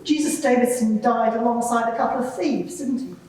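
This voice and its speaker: A woman's voice: fairly high pitch, fairly quick, a little mellow, natural voice. A woman playing a composed, probing lawyer who questions a witness formally and insistently in a live courtroom drama. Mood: measured, probing. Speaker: examining lawyer in a dramatised inquiry. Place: large reverberant courtroom, live event. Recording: very echoey hall, distant microphone.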